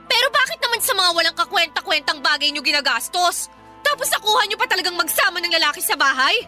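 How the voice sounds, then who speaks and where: A woman's voice: extremely high pitch, sing-song, fast, pushed and bright, faint music behind, exaggerated voice. A woman playing a strict, indignant young breadwinner daughter, scolding in a Filipino radio drama. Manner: scolding, confrontational.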